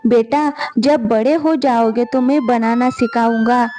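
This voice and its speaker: A woman's voice: a little high, fairly even intonation, unhurried, warm tone, close to an everyday voice. A woman voicing a stubborn, weary village potter and father in a homely, grumbling manner for a told story. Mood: patient.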